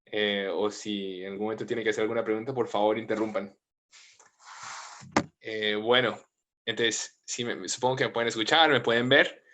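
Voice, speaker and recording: Thirties priest with a low voice and a mixed accent, gentle and informal, heard over a video call. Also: male voice, fairly even intonation, moderate pace, background noise. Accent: mixed Latin American and Spanish. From United States, family from Colombia. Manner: gentle, informal, with frequent fillers.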